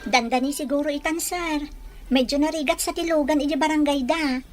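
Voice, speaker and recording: A woman's voice: sits high, brisk, a little hiss or hum, lightly acted. A woman acting as a humble, deferential household maid and devoted mother in an Ilocano audio drama. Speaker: drama actress.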